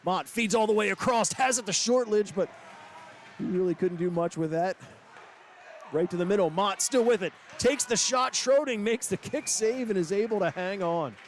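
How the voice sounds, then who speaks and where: A man calling hockey play-by-play, with quick, excited calls that rise to shouts. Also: high-pitched, a little hiss or hum. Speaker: play-by-play announcer. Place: ice rink broadcast booth. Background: loud arena music.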